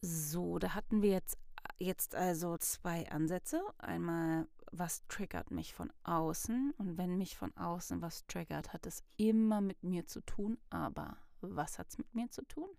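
A woman's voice: on the low side, unhurried, vocal fry, warm tone, no echo, studio quality. A woman giving a casual self-help talk, reflective and thinking aloud with fillers, often acting out little inner dialogues.

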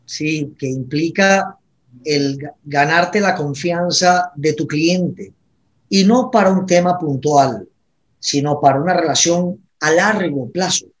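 A man's voice: a little high, slow pace. A man with a Venezuelan accent explaining things conversationally in an interview, posing questions and answering them himself. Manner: explanatory, uses rhetorical questions. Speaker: interview guest, consulting firm executive.

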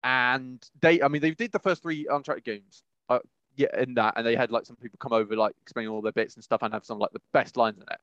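A man's voice: medium pitch, fairly even intonation, fast, a bit bright, dry recording, lo-fi sound. A man chatting casually as a podcast co-host in a rambling, enthusiastic way. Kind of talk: casual chat.

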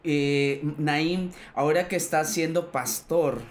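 A male radio host with a somewhat high voice asks his guest questions. He talks in a friendly, halting way, filling pauses often. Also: fairly even intonation, a little hiss or hum. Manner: friendly, hesitant with fillers. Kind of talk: radio interview.

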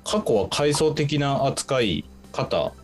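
A man's voice: medium pitch, expressive, slow pace, faint music behind. A man in his twenties giving his opinions as a guest on a radio talk show, speaking in hesitant, piecemeal phrases. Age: 20s. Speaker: show staff member and guest presenter.

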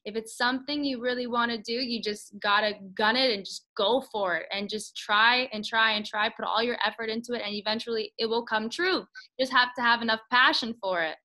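Bright, chatty woman interview host. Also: fairly high pitch, fairly quick, rough recording. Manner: chatty and enthusiastic, fond of puns. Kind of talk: upbeat podcast interview.

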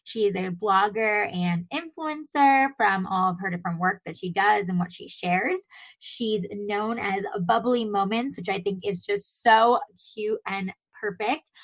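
A woman's voice: medium pitch, measured pace, fairly bright tone, rough recording. A woman in her thirties hosting an interview show, gushing and chatty with lots of "you know" and "like". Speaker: show host. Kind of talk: casual interview chat.

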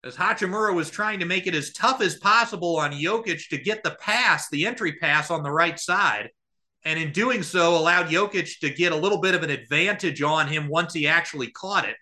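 A man calling a live basketball game as a radio play-by-play announcer, with an excitable delivery. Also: fairly high pitch, fairly quick.

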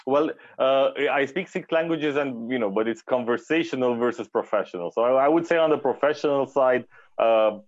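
A man's voice: medium pitch, moderate pace, dry recording, dull audio. A man from Romania talking as an interview guest, hesitant, with many fillers and restarts. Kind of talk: casual interview talk.

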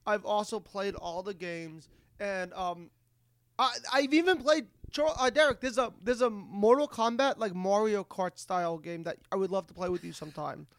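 A man co-hosting a casual comedy podcast, excitable and stammering, often repeating his words. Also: high-pitched, moderate pace, no echo, studio quality. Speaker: podcast co-host.

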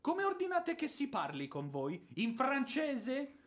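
An actor playing a boorish, hot-tempered ex-officer creditor in a radio drama, sarcastic and theatrical, moving between muttering and bluster. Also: male voice, very high voice, wildly swooping pitch, slow pace, exaggerated voice. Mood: mocking. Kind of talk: radio drama stage acting.

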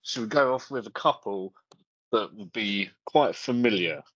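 A man's voice: on the low side, animated, a little slow, a bit bright, no echo, highs cut off. A man co-hosting a podcast, telling stories in casual comic banter with wry jokes and swearing. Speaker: podcast co-host.

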